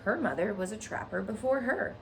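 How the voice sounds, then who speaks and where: A woman reader plays a bloodthirsty lady spider from a storybook. The voice is thin and pleasant, with lively swoops as she explains herself frankly and breezily. There is clear noise on the recording. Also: medium pitch, fairly quick, pitched above the performer's own voice. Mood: frank, breezy. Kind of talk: children's storybook read aloud.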